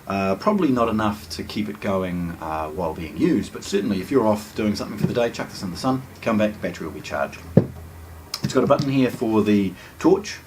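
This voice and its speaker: Low-pitched man with lively, swinging intonation. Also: background noise. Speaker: product presenter. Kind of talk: casual hands-on unboxing commentary.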